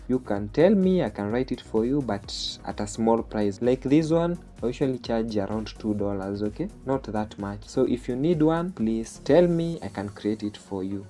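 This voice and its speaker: A man's voice: mid-range voice, expressive, moderate pace, mellow, faint music behind. A man from Kenya with a Kenyan accent, a native Swahili speaker, walking through a screen-share tutorial step by step and often checking in with "okay?". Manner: explaining step by step, ends phrases with "okay?". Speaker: online jobs tutorial host.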